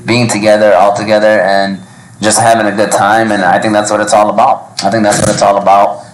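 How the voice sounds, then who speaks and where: Low-voiced man hosting in an echoey restaurant. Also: level tone, fairly quick. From Yemen. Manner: rambling, hesitant with many ums. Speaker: podcast host. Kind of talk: casual panel discussion. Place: restaurant, live event.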